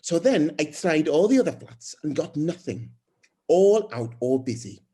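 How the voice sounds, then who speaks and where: A man's voice: on the high side, big pitch swings, unhurried, mellow, husky, pitched above the performer's own voice, exaggerated voice. A man voicing a friendly, chatty young mother in an audiobook, rambling and hesitant as a new neighbour. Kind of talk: audiobook character dialogue.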